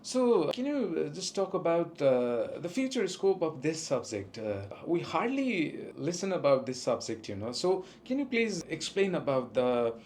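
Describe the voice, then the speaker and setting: A man's voice: on the high side, sing-song, moderate pace, hardly any pauses, darkish tone, a little hiss or hum. A man from Nepal hosting a podcast interview, asking questions eagerly and affirming often. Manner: eager, frequent backchannel affirmations. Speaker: podcast host. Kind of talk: casual interview.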